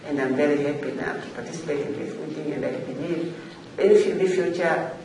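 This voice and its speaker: A woman with a Tanzanian accent giving semi-formal panel remarks off the cuff, halting, repetitive and emphatic. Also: deep voice, dark tone. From Zanzibar, Tanzania. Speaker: forum panelist, African regional official. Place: echoey indoor forum room. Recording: echoey room, distant mic, faint noise.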